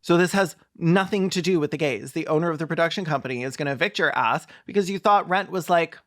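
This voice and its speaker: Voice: medium pitch, fast talker. A man giving sarcastic, mocking commentary as the host of a film review, with casual swearing.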